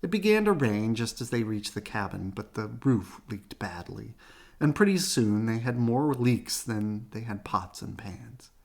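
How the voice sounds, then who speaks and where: A man narrates a children's picture book as a read-aloud. His voice has a creaky, gravelly edge and moves up and down expressively through the sentences. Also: fairly low pitch, expressive, unhurried, darkish tone. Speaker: children's storybook reader.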